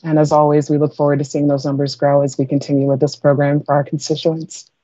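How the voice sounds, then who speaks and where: A woman's voice: low voice, flat delivery, quick pace, dark tone, no echo. A young woman, a staffer, giving a prepared presentation over a video call from an office. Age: young adult. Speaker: legislative staffer. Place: office with storage shelves. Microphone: wired earphones on video call. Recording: slightly dull video call audio.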